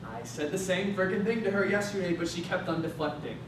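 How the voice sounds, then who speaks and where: A man's voice: animated, a little fast. A young man acting a brash, resentful space maintenance worker in a staged drama, slangy, sarcastic and confrontational. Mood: frustrated, pleading. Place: stage or hall at a staged reading. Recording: very echoey room, distant mic, noisy rumble.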